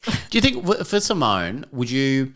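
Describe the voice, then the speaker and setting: A man's voice: medium pitch, moderate pace. A man co-hosting a podcast, teasing and joking in casual chat. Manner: teasing, animated. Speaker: podcast co-host.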